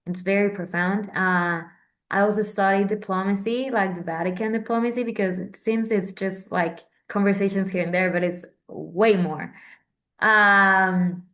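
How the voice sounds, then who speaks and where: Chatty young woman. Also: mid-range voice. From Central America. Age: 20s. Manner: chatty, with many fillers and restarts. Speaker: Vatican correspondent. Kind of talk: casual informal talk.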